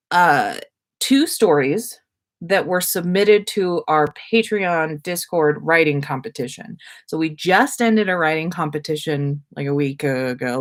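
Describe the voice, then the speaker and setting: A woman hosting a casual livestream, chatting to viewers in an effusive, rambling, playfully self-aware way. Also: fairly low pitch. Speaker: livestream host.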